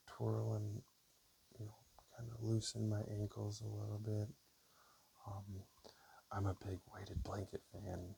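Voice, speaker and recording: A man speaking softly and casually in an ASMR style, sometimes whispering, with a hesitant, unhurried, low gravelly murmur. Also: low-quality recording. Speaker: ASMR creator. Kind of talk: ASMR, soft-spoken and whispered personal talk. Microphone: camera or basic mic, spoken close. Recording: faint hiss on amateur mic.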